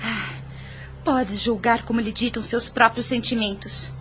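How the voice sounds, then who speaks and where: Woman acting a high-voiced, principled young niece. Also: steady tone, a little slow, fairly bright tone, slight background noise. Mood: defiant. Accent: Brazilian. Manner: formal, firm, earnest. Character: principled, spirited young niece defending an orphan. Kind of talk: audio drama acting in formal Portuguese.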